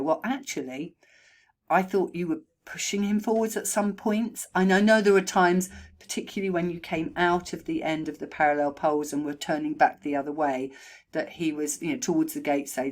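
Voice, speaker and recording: A woman horse trainer and behaviourist, hoarse from a chest cold, talking through riding feedback in a recorded voice-over on a new microphone, chatty and full of ums and restarts. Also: sits low. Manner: chatty, thinking aloud with many ums and restarts. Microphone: new home microphone.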